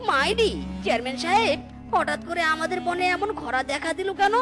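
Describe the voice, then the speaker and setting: Woman voicing a very high, worried cartoon villager. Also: animated, a little fast. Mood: distressed. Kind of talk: cartoon voice acting. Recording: music behind the voice.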